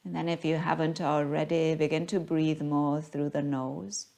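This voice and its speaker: A woman guiding a yoga class as the teacher, speaking in a gentle, unhurried, soothing manner. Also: low-pitched, little pitch movement, gravelly. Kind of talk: guided yoga class instruction.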